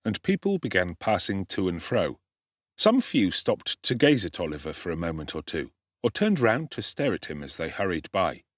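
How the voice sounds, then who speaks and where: Male narrator for classic fiction, expressive and dramatic, with sweeping intonation in a dry studio sound. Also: fairly low pitch, slightly rough, dry recording. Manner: theatrical, expressive. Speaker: audiobook narrator.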